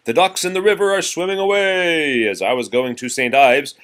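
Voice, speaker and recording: A man reading nursery rhymes aloud to a young child in an animated sing-song, with patient, casual asides as a parent. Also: on the high side, normal speed.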